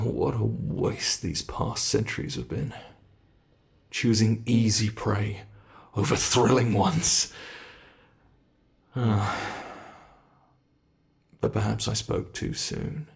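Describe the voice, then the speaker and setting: A British man voicing an ancient, cruel male monster that hunts humans, slow and relishing, in an ASMR horror roleplay. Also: medium pitch, vocal fry. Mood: menacing. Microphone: close mic.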